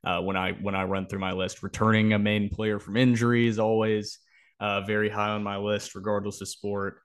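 A man's voice: on the low side, level tone, normal speed, clear, resonant tone. A man co-hosting a sports podcast, talking through analysis and predictions in a casual, explanatory way.

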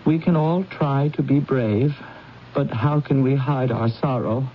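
Slow, solemn grieving father played by a man. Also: mid-range voice, lightly acted. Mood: grieving, tender. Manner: solemn, tender. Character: grieving, tender husband and father. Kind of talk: 1970s radio drama acting. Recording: very muffled radio broadcast, faint noise.

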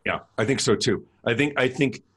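A middle-aged man with a deep voice, hosting a casual interview in a chatty, self-deprecating way. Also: a little fast, fairly bright tone, breathy edge. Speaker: podcast host.

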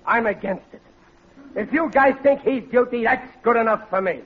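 A man's voice: extremely high pitch, moderate pace. An American man acting a blustering, gullible working-class husband in a 1940s radio comedy, prone to stammering and folksy grammar. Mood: blustering, indignant. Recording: muffled old radio recording, studio audience, hiss.

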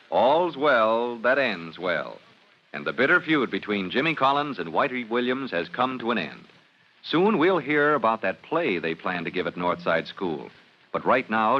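A man announcing an old-time radio serial with polished, dramatic scripted narration. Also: lowish voice. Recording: dull old radio recording.

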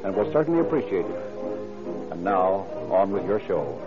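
A man's voice: low voice, big pitch swings, unhurried, loud music behind the voice. A man announcing and narrating a scripted radio show in formal, earnest 1930s style. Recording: muffled 1930s radio broadcast.

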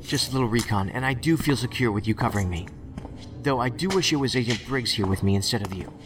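An actor playing a stubborn, reckless federal agent in an audio drama, speaking in a dry, insistent, clipped way. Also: male voice, medium pitch, fast, lightly acted. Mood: wry.

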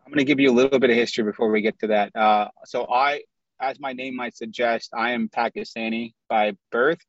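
A man's voice: medium pitch, fairly even intonation, brisk, no room sound. A man in his thirties from the Midwest tells his story openly, sometimes reeling off long lists. He speaks over a video call, and the audio sounds dry and muffled. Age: 30s. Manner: candid, storytelling, lists things in runs. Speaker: guest.

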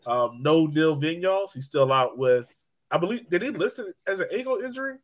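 A man's voice: fairly high pitch, lively intonation, a little fast. A man hosting a podcast, chatting casually about sports. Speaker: podcast host.

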